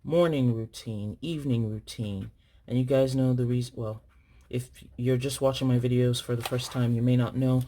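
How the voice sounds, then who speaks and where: A woman's voice: rumbling low, fairly even intonation, moderate pace, mellow. A woman from Nigeria chatting casually to her viewers while filling in her planner, thinking aloud and correcting herself as she writes. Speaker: planner vlogger.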